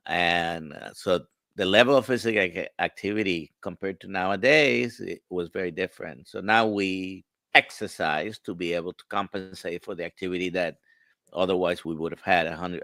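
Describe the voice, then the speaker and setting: A man with a Spanish accent, a physician guest answering interview questions in a measured, explanatory way over a video call, pausing on "uh". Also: low-pitched, creaky, fairly bright tone, dry recording. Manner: measured, explanatory, many "uh" pauses. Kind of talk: live-stream interview answers.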